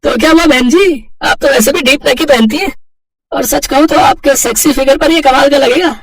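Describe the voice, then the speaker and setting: A man's voice: very high-pitched, normal speed, gravelly, fairly bright tone, no room sound, distant mic, voice pushed up, heavily acted. A man voicing a lewd, wheedling tailor in a moral story, with an oily, suggestive manner. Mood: oily, flirtatious. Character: lewd wheedling male tailor flirting with customer.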